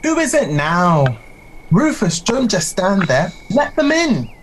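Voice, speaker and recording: A woman's voice: medium pitch, sing-song, slow, slight background noise. A woman playing an irritated, imperious Roman governor in an audio play. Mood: irritated, haughty. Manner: imperious, questioning. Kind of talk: dramatic audio play acting.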